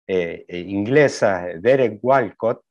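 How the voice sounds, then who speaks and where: A man's voice: fairly low pitch, slow, a little mellow. An Argentine man with an Argentine Spanish accent, interviewing a guest and building long questions with hesitant fillers. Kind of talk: interview conversation. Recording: dull lo-fi sound.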